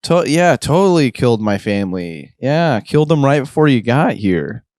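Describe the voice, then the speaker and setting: A man's voice: mid-range voice, very animated, moderate pace, darkish tone, dry recording, clean studio sound, voice pushed up. A man voicing a nervous, bewildered young stoner under accusation, hesitant and flustered, in a comic story.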